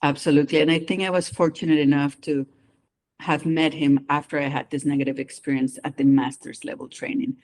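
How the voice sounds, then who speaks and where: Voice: medium pitch, flat delivery, brisk, a little mellow. A psychologist guest with a mixed accent of their own, earnestly explaining their work and speaking openly about personal experience. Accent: mixed non-native English accent. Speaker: psychologist guest who does immigration evaluations. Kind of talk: interview conversation.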